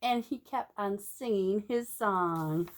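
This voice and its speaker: A woman reading a picture book aloud like a teacher to young children, animated and drawing out words, with questions to the listener and sing-song phrasing. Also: mid-range voice, takes it slowly.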